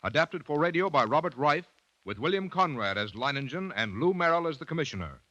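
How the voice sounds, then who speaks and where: A man with an American accent reading scripted credits in a crisp, formal 1940s radio announcer style. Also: fairly high pitch, lively intonation, a little fast, bright, forceful tone, dry recording, muffled recording. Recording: vintage 1940s radio broadcast.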